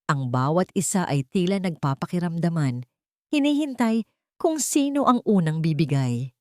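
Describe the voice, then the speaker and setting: A woman narrates a dramatic story in a low voice. Her phrasing is measured and suspenseful, broken by short pauses. The recording is dry and close. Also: very animated, dry recording.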